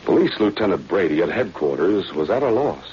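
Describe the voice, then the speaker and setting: A man's voice: sits low, big pitch swings, fairly quick, darkish tone. A man narrating a scripted drama in dramatic 1940s radio style. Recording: muffled, noisy old-time radio recording.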